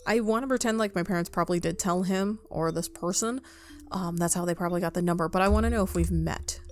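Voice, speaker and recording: A woman's voice: fairly low pitch, fast talker, a little hiss or hum. A woman playing a story game, reading the narration aloud and dropping in casual asides of her own. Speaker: video game player reading aloud and commenting.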